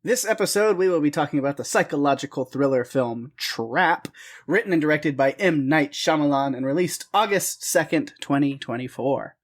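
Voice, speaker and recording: A man's voice: on the high side, normal speed. A man co-hosting a film podcast, talking casually with frequent restarts and little laughs. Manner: rambling, restarts, self-deprecating laughs. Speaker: podcast co-host. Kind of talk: casual chat.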